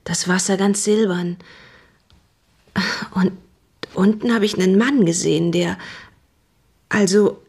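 An actress playing a frightened young housemaid in a radio drama, giving breathless, halting eyewitness testimony in colloquial speech. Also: female voice, mid-range voice, steady tone, a little mellow, breathy edge. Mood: uneasy, hesitant. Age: young adult.

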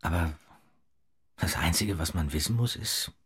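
A restless young thinker in an audio drama. The voice sits very low and is thick with breath and husk. The delivery is intimate and philosophical, with a vulnerable edge. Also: male voice, measured pace, fairly bright tone, breathy edge, exaggerated voice. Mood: musing.

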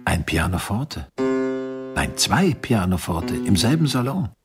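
An actor playing a self-assured, fastidious 18th-century Viennese doctor, musing wryly in a radio drama. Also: male voice, on the low side, sing-song, loud music behind the voice. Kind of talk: radio drama acting, interior monologue.

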